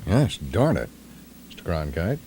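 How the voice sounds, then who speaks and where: Male radio sidekick: low register, extremely animated intonation, casual banter, faint noise. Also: measured pace.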